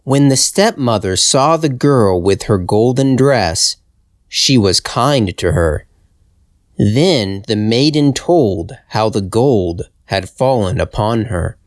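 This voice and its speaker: A man reading a fairytale as a storyteller, in careful, deliberate scripted narration for language learners. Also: fairly low pitch, dry recording, studio quality. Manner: deliberate, expressive, carefully phrased.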